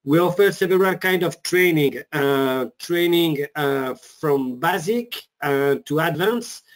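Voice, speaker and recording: French-accented man presenting a webinar. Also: on the high side. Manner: halting, many fillers.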